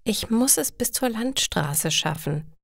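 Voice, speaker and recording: Woman reading as anxious, slow-talking storybook worker. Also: fairly high pitch, expressive, creaky, dry recording, studio quality, voice pushed up, full character voice. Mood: worried. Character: Tina, anxious care-home worker facing snowy roads.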